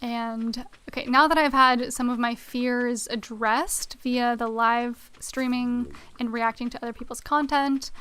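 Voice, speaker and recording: A woman's voice: fairly high pitch, steady tone, measured pace, few pauses, bright, forceful tone, smooth. A young woman streaming a video game, chatting casually and reading out chat messages, her voice a little worn. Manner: chatty, reads out chat messages, many fillers like "like". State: slightly losing her voice. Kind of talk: casual live-stream chat while gaming.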